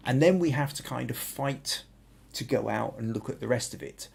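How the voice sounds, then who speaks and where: A man's voice: mid-range voice, normal speed, creaky, a little mellow, breathy edge. A middle-aged man with a Southern English accent, hosting a photography podcast and thinking aloud with restarts as he critiques photos. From England. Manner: thoughtful, rambling with restarts and fillers. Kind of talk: live photo critique and chat.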